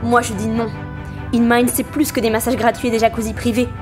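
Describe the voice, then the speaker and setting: A woman's voice: a little high, little pitch movement, fairly quick, music in the background, natural voice. A woman playing an indignant start-up veteran who exhorts colleagues emphatically in a French comedy audio drama. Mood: indignant, rousing.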